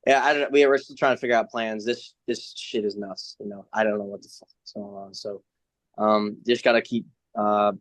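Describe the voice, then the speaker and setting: A man's voice: fairly low pitch, fairly even intonation, normal speed, dry recording. A man of nineteen talking casually and informally as a racing driver being interviewed, with some slang. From United States.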